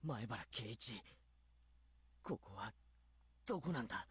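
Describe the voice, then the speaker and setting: An actor playing an uneasy teenage boy in a visual novel, with a breathy voice. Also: lowish voice, animated, unhurried, fairly bright tone, exaggerated voice. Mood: tense. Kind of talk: Japanese visual novel voice acting.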